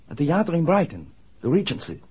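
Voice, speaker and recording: A man's voice: a little high, huge pitch swings, a little fast, darkish tone, husky, heavily acted. A man acting an earnest, hesitant young village garage worker in a 1960s radio play, speaking deferentially. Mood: defensive. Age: young adult. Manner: hesitant, deferential to his questioner. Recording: dull 1960s radio drama recording.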